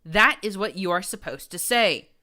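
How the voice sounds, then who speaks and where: Woman doing a pompous undead pony prince villain: hissing, falsely sweet, high and sharp, shrieking when crossed. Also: lively intonation, moderate pace. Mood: shrieking rage. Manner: hissing, falsely genteel, erupting into screams.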